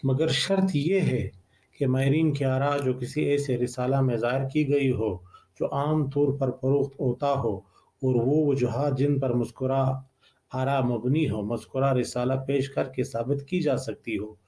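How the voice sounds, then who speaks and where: A man with a Pakistani accent reading legal text aloud as a lecturer, in a formal, deliberate manner with occasional stumbles. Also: mid-range voice, mellow. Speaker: law lecturer.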